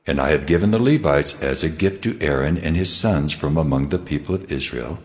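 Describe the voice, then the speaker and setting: God, male, bottomless low pitch, solemn authority, scripture drama, huge reverberant space. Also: brisk. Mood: solemn, commanding. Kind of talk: dramatized scripture reading with music. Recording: long cavernous reverb. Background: faint music.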